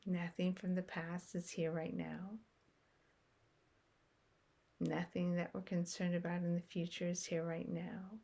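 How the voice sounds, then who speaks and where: Woman, meditation guide, very slow, low voice with vocal fry, soft, long pauses. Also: completely flat delivery. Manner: soft, unhurried, long pauses between phrases.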